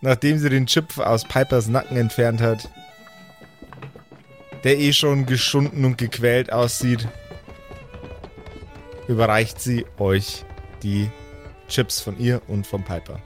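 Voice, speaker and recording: A man's voice: medium pitch, steady tone, takes it slowly, pauses often, faint music behind. A man narrating an improvised tabletop game as game master in a vivid, descriptive way.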